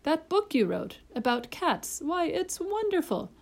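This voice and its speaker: A woman's voice: very high-pitched, big pitch swings, unhurried, creaky, voice pushed up, full character voice. A woman voicing an eager, scheming, uneducated working man with a colloquial, persuasive way of talking. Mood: admiring, persuasive.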